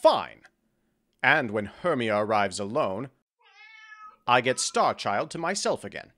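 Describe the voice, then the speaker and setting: A man's voice: mid-range voice, sing-song, measured pace, bright, forceful tone. An actor playing a petulant fairy king bickering like a husband in a modern audio drama, dramatic and easily flustered. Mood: huffy.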